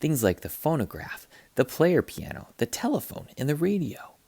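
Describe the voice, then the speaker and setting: A man reading a children's picture book aloud as a teacher, with animated, dramatic emphasis. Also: a little high, normal speed, gravelly, husky, no room sound. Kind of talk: expressive children's read-aloud narration.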